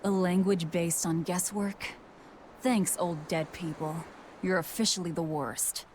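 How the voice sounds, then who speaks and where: Actress as a low-voiced, sardonic young woman. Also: steady tone, unhurried, darkish tone, slight background noise, natural voice. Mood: sarcastic. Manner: dry, sarcastic. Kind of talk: video game cutscene acting.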